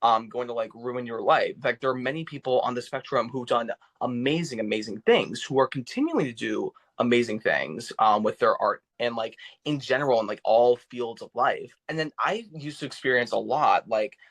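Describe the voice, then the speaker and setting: A young man talks casually as a podcast guest, his medium-pitched voice halting through many fillers and restarts. He sounds thoughtful and earnest about his experiences. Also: expressive, fairly bright tone. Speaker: podcast guest, actor and vocal coach. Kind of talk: casual interview talk.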